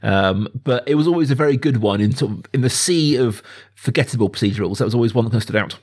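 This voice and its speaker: A man's voice: medium pitch, quick pace, darkish tone, husky. Man hosting a casual film podcast. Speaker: podcast host.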